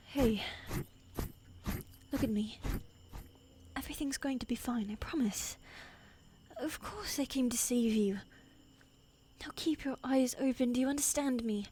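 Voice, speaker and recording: A woman playing a fierce, commanding queen in a medieval fantasy audio roleplay, imperious and threatening, with a softer side for her husband. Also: on the high side, slow pace, pauses often, a little hiss or hum. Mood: urgent worry.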